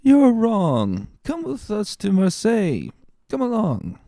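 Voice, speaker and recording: A man voicing a good-hearted, stubborn drunk in a dramatic reading, with slurred, drawn-out speech. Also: high voice, huge pitch swings, warm tone, no room sound, clean studio sound, voice pushed up, exaggerated voice.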